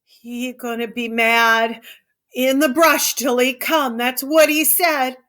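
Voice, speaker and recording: A woman's voice: sits high, fairly even intonation, takes it slowly, fairly bright tone, pitched above the performer's own voice. A woman voicing a big, simple-minded ranch hand man who pleads and cries like a child. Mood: frightened.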